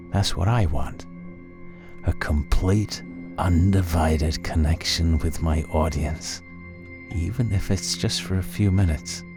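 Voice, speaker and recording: A man acts out a chilling serial killer in an audio horror tale, with a rock-bottom deep voice. He speaks slowly and intensely, leaving ominous pauses. Clear music plays beneath him. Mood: intense conviction. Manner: slow, deliberate, ominous pauses. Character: menacing male serial killer streamer, coldly intense artist. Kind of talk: horror audio story acting. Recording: loud music under the voice.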